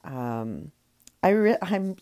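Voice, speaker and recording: A woman's voice: lowish voice, sing-song, drawn-out words, mellow. A middle-aged woman narrating her own painting tutorial as a voiceover, chatty and full of ums and uhs, still getting over a cold. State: recovering from a cold. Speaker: rock painting tutorial host. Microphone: voiceover mic.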